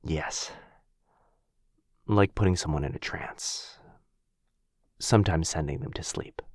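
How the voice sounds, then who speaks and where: A man voicing a gentle, protective vampire in an ASMR roleplay, soft, breathy and husky, soothing in a hushed, halting way. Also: very deep voice, full character voice. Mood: gentle, hesitant. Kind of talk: boyfriend ASMR roleplay audio drama.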